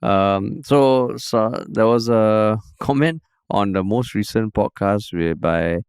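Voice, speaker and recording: A young Singaporean man with a fairly low voice chats easily on a podcast. His voice is smooth and clear, and he drops playful, slangy asides. The recording is dry and close. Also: takes it slowly, no room sound. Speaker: podcast co-host. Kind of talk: casual podcast chat.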